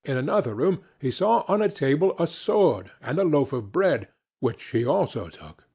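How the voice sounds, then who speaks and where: Expressive, high-voiced husky male storyteller. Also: moderate pace. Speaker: audiobook narrator. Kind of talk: scripted storybook narration.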